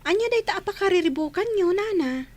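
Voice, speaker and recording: Actress as young working girl, high voice, courteous, kind-hearted, radio drama. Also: lively intonation, fast talker, no echo. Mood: concerned. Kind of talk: Filipino radio drama acting.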